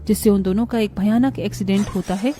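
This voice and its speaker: A woman narrating a scripted children's moral story in a dramatic, expressive way. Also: medium pitch, fairly quick, a little hiss or hum.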